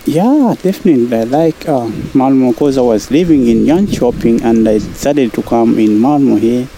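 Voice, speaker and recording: Mellow-voiced man, halting replies. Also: medium pitch, creaky, a little hiss or hum, rough recording. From Uganda. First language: Luganda. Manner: halting, agreeable, fills with yeah and um. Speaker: passerby interviewed on the street. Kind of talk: casual street interview. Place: outdoors on a city sidewalk.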